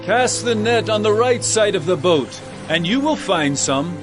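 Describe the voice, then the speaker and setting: A calm male teacher from a scripture drama, tender but full of authority. His delivery is solemn and deliberate, with an expressive, moving pitch. Music plays clearly behind him. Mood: calling out, inviting.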